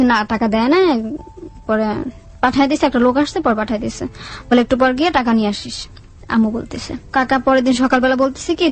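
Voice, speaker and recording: A woman with a Bangladeshi accent tells a personal story in a radio interview, haltingly, repeating words and quoting what people said. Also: high-pitched. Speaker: guest telling own life story. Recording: music under the voice. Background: background music.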